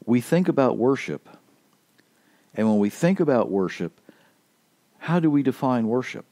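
A man's voice: medium pitch, lively intonation, slow, long pauses, dark tone, no echo. A man preaching a casual podcast sermon in an earnest, emphatic way, going back over his key points, his voice a little worn. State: slightly losing his voice.

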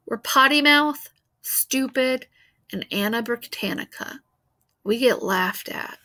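A woman's voice: fairly high pitch, takes it slowly, pushed and bright. A woman reading a children's book aloud expressively as a storyteller. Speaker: read-aloud reader.

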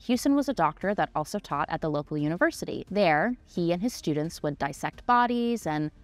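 A woman's voice: on the low side, expressive, fairly quick. A woman in her twenties hosting a history show, giving scripted narration in a conversational, dramatic way with casual asides. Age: 20s. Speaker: host of a history mystery show. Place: small indoor studio set. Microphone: desk microphone, close. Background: music.

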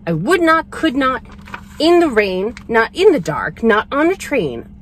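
A woman's voice: on the high side, big pitch swings, unhurried, slight background noise. A woman voicing a grumpy, stubborn male picky eater in emphatic, sing-song rhymed refusals. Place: inside a parked vehicle.

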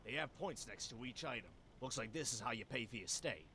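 A man's voice: mid-range voice, animated, brisk, bright, forceful tone, a little hiss or hum. A man voicing a scheming, cheerful small animal salesman with persuasive patter in a cartoon adventure game. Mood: scheming, upbeat.

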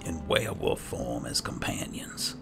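A man giving low-key, muttered in-character commentary as he roleplays through a video game. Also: big pitch swings, a little slow, vocal fry. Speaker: gaming video host. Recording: game music under the voice. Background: video game music.

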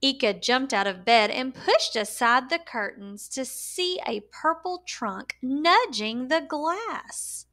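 A woman reads a children's story aloud in a high voice. Her intonation swings widely and expressively. She goes slowly and deliberately, giving words emphasis for young listeners. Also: animated, pushed and bright. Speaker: storyteller reading a picture book aloud.

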